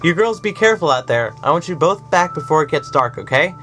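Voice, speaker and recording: A man's voice: on the high side, animated, fairly quick, voice pushed up. A man voicing a jovial, fond middle-aged father. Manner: hearty and fond. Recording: soft music behind.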